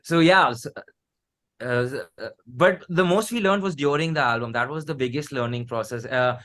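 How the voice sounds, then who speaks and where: A man's voice: a little high, normal speed, fairly bright tone. A man in his thirties with an Indian accent, chatting casually as an interview guest. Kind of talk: casual chat.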